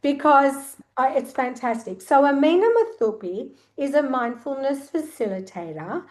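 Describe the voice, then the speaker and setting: High-voiced woman hosting an interview show. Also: animated, normal speed, a little mellow. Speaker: podcast host and interviewer. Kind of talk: warm formal introduction and interviewing.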